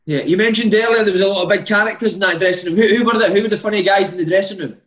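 Fast, high-voiced man in an echoey room. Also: a bit bright. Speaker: interviewer. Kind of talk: casual football interview questions.

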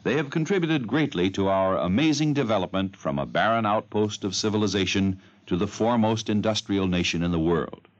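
A male radio announcer delivering formal scripted narration in a measured, declamatory manner. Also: lowish voice. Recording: muffled old radio broadcast.

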